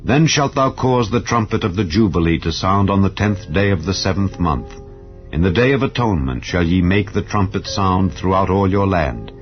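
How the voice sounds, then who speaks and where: Low voiced guy reading the bible slow and solemn, dull lo-fi sound, faint music under it. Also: animated. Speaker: Bible reader. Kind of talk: scripted scripture narration. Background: soft ambient music.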